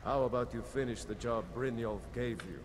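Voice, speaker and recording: Male actor as guarded tavern keeper, unwelcoming, curt, middle-pitched, reverberant, background noise, fantasy game. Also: moderate pace, natural voice. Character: surly, guarded tavern keeper in a thieves' den. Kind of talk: fantasy video game acting.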